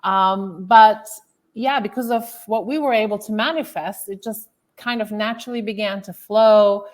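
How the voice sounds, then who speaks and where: A woman's voice: mid-range voice, steady tone, normal speed. A woman from Turkey in her thirties, a guest coach telling her life story in a loose, chatty way with many fillers. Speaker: guest, author and transformational coach. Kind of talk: casual interview chat.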